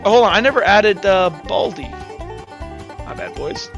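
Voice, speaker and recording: A man's voice: high-pitched, animated, normal speed, dull audio. A man narrating a tabletop roleplaying game as game master, casual, slangy and improvising as he goes, with music playing.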